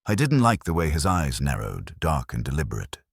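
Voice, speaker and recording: A man's voice: very deep voice, very animated, fairly quick, breathy edge, no echo, clean studio sound. A man reading a first-person detective story as an audiobook narrator.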